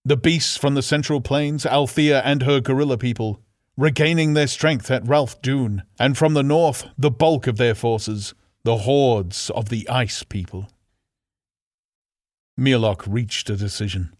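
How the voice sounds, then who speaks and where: A man's voice: mid-range voice, unhurried, dry recording, clean studio sound. A man reading scripted fantasy narration as an audiobook narrator, grave and theatrical.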